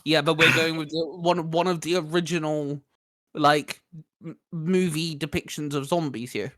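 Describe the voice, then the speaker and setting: A man's voice: on the high side, fairly even intonation, normal speed, a bit bright, no echo. A man co-hosting a casual podcast, steering the discussion and reading out a list. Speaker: co-host leading the questions.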